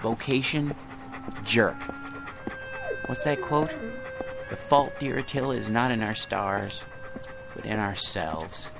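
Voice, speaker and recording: A man's voice: on the low side, slow, vocal fry, long pauses. An actor in a radio drama playing a hapless everyman with grand pretensions, theatrical, moving between pompous and faltering. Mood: rueful. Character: hapless, grandiose everyman dreaming of being president, then genie. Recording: radio drama with music underneath.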